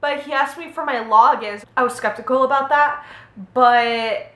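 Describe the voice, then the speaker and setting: A woman's voice: high voice, moderate pace, a bit bright. An American woman of eighteen telling a casual storytime and reading out messages in a slangy, self-mocking way, in an echoey room. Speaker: vlogger.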